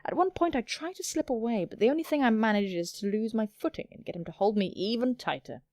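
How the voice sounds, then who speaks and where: Excitable, high-pitched woman gamer. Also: quick pace, no room sound, studio quality. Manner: theatrical, excitable, cheeky. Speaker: gaming video host. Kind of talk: playful let's play reading and commentary.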